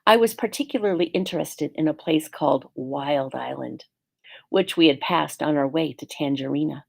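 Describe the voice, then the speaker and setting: Woman reading as a courteous old alley cat, slightly creaky. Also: low-pitched, a little mellow, exaggerated voice. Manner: courteous, storytelling. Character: polite old female alley cat, worldly former traveler.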